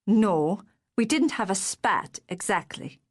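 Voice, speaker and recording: A woman voicing a brooding young man in an audiobook, speaking low and gruff. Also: expressive, slow.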